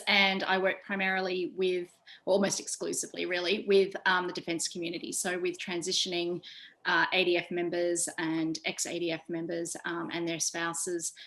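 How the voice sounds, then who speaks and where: A woman speaking unscripted on a discussion panel, often pausing to find her words. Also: mid-range voice, a bit bright. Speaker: panelist, career development practitioner.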